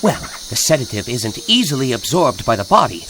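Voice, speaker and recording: An actor playing an earnest, precise scientist who explains things technically in an animated game. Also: male voice, mid-range voice, fairly quick, slight background noise. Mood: clinical, precise. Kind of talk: animated action game cutscene acting. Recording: loud outdoor ambience behind.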